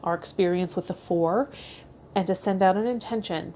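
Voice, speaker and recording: Woman, slightly low voice, mellow and relaxed tone, tarot vlog host, faint background noise. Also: normal speed. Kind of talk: reading card meanings aloud and casual vlog talk.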